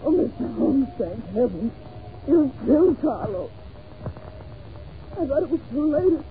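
Casting: an emotional young lady, high and swooping voice, exclaiming in vintage radio melodrama, very muffled sound. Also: very animated, unhurried, heavily acted. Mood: frightened, faint. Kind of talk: old-time radio drama acting. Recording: very muffled old radio recording, heavy noise.

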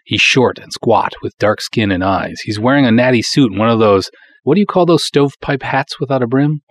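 A man's voice: mid-range voice, lively intonation, brisk, close to an everyday voice. A man reading a story as a wry, folksy small-town cop who narrates his own adventures. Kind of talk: storybook reading in detective-story first person.

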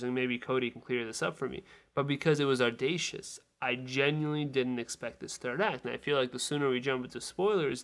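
A man on a podcast panel giving casual, analytical film criticism in a measured way. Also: medium pitch, creaky, a bit bright.

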